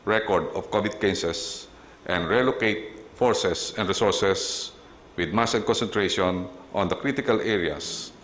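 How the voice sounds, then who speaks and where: A man's voice: deep voice, measured pace, a bit bright. A man with a Filipino accent giving a formal scripted briefing as a government official. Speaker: government official presenting a plan. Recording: echoey room with faint noise.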